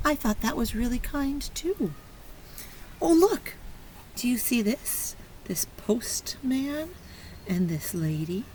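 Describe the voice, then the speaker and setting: A woman teacher reading a picture book aloud to young children, stopping to ask questions and encourage them in an expressive way. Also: a little high, takes it slowly, low-quality recording. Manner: encouraging, praising child listeners; expressive, exclaiming and prompting. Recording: clear background noise.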